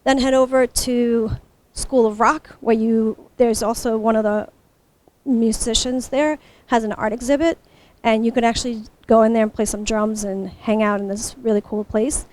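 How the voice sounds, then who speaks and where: A woman's voice: on the high side, steady tone, normal speed, a little mellow. A woman giving an informal guided rundown of a local event as its presenter. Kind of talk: informal event walkthrough to camera.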